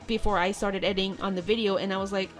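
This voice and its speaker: A woman chatting casually as a podcast guest, a video editor who talks in long, animated, rambling stretches. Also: mid-range voice, fast, faint music behind.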